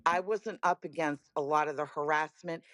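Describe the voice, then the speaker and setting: Raspy woman, candid podcast guest, bold emphatic delivery, dry mic. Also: low-pitched, fairly even intonation, normal speed, a bit bright, no room sound. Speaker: guest, music booker. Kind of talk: podcast conversation.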